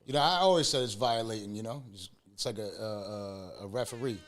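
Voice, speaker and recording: A man's voice: lowish voice, brisk. A man hosting a casual interview, talking in an appreciative, informal way and circling hesitantly toward his questions. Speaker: podcast host.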